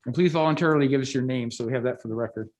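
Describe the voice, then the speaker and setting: A man chairing a county board hearing, explaining the procedure slowly with frequent fillers. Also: medium pitch, a little mellow. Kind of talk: procedural meeting chair remarks.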